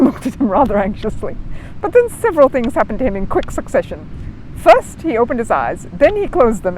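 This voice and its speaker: A woman reading a children's story aloud in an expressive, animated way. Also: fairly high pitch, slight background noise, rough recording. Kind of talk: expressive scripted narration.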